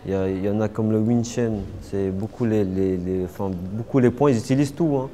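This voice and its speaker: A young man from the Paris suburbs speaks in a fairly low, mellow voice. He answers interview questions casually and hesitantly, often stopping, restarting and filling pauses. Faint background noise sits under the recording. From Paris suburbs, France. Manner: hesitant, many fillers and restarts. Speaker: interviewee, martial artist.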